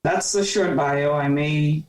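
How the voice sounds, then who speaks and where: A woman's voice: low voice, takes it slowly, a little mellow, recorded from a distance. A woman in her thirties, a podcast guest telling her story in an earnest, reflective way. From Cameroon. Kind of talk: casual conversation. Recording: roomy, off-mic sound.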